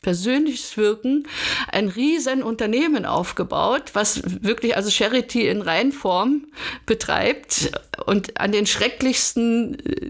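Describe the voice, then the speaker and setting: A woman from Berlin speaking as a podcast guest and coach, giving considered, structured answers in a conversational interview style. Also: on the high side. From Germany, Berlin.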